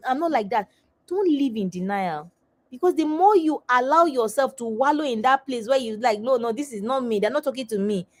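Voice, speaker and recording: A middle-aged woman hosting a live webinar, teaching with emphatic repetition and rhetorical questions. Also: high-pitched. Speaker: coach and webinar host.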